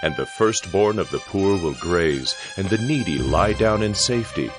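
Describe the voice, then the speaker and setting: Very deep-voiced solemn male narrator. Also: animated, moderate pace, music in the background. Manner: solemn, emphatic, declamatory. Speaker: scripture narrator. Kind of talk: dramatic scripted scripture narration.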